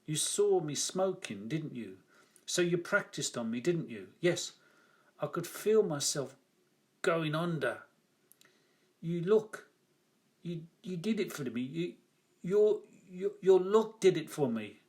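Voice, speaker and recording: A man voicing an excitable, awestruck shopkeeper in a read-aloud story, insistent and pleading, stammering and repeating himself. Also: on the high side, slow, pauses often, voice pushed up.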